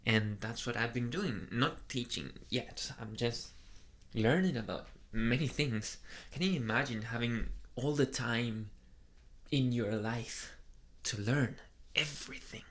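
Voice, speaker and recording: A man voicing a soft, flustered young male vampire in an ASMR boyfriend roleplay, speaking hesitantly and earnestly in an intimate murmur. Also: mid-range voice, unhurried, natural voice. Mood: earnest, proud.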